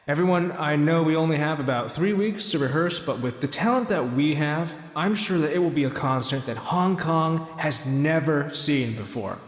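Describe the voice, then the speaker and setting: In a reverberant hall, a male actor plays a high-pitched, smug tycoon of audio drama. He declaims grandly like a pompous showman pitching a miracle cure. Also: moderate pace, few pauses, natural voice. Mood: smug, businesslike. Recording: echoey, reverberant hall sound.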